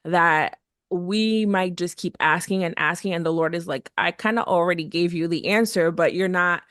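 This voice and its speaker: Soft-spoken woman podcast host, dry close sound. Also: fairly low pitch, normal speed, no room sound. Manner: encouraging, hesitant with many fillers. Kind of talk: casual faith conversation.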